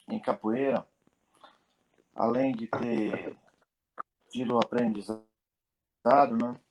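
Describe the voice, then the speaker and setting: A man's voice: mid-range voice, vocal fry, rough recording. A man giving a careful, earnest statement as an interview guest. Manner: earnest, deliberate. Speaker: guest, family member.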